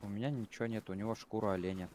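Low-voiced blunt man chatting in game. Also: steady tone, racing, a little mellow, slight background noise. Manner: blunt, swears freely, rambling. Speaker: gamer on voice chat. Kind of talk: casual gaming chat.

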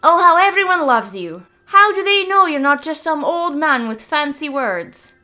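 Mischievous young druid girl read by a woman, excitable and taunting, pitched up, faint music under. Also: normal speed. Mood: mocking. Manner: high, loud, excitable taunting. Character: mischievous young female druid, bouncy and teasing. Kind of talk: storybook reading.

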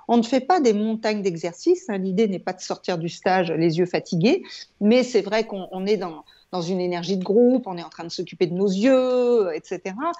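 A woman's voice: medium pitch, dark tone. Older woman, dull lo-fi call audio. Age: 60s. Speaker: guest, eye yoga trainer and author. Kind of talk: conversational interview explanation. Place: home room with shelves, indoors. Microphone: wired earphones on a video call.

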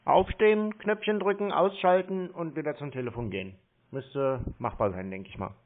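Guy on a tinny phone call, kinda high voice, deadpan and stubborn, casual. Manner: stubborn, deadpan, slow to cooperate. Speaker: prank call host, scambaiter. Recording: thin, narrow telephone line.

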